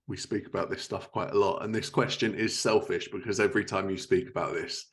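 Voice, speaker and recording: A man's voice: low-pitched, fairly even intonation, brisk. A man hosting a casual podcast conversation about his work, speaking as a writer. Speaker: podcast host and fiction writer.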